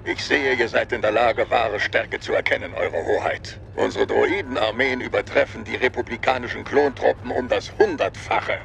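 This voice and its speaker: A man's voice: medium pitch, fairly even intonation, normal speed, creaky, few pauses, fairly bright tone, slight background noise. A man playing a suave, menacing old aristocratic villain count, formal, persuasive and condescending. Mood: smooth, condescending.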